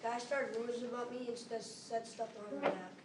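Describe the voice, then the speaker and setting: A woman's voice: a little high, fairly even intonation, fairly quick, far from the mic. A seventh-grade girl performing a scripted readers theater monologue. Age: about 12 to 13, seventh grader. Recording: echoey room from afar, clear noise.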